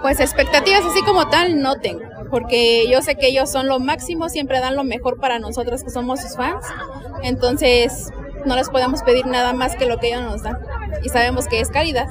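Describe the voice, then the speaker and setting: A woman's voice: high voice, brisk. A woman speaking casually and devotedly as an interviewed fan. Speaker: concert fan being interviewed. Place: outdoors in a concert queue. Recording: outdoor recording amid loud crowd.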